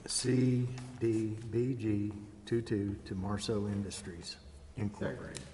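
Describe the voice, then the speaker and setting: A man's voice: lowish voice, steady tone, takes it slowly, noisy background. A man serving as a council member, formally stating motions and votes. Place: large indoor council chamber. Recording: reverberant hall sound.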